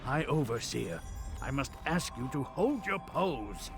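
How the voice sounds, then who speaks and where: A gravelly, haughty physician with very varied intonation. He is fussy and dismissive, a video game character. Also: medium pitch, big pitch swings, unhurried, music in the background.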